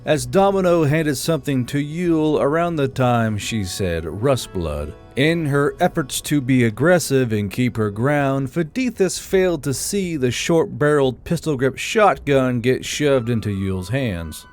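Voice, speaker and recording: A male narrator reads a fantasy chapter in a mid-range voice, steady and measured. Faint background music plays beneath him throughout. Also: mid-range voice. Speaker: audiobook narrator. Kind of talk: scripted audiobook narration.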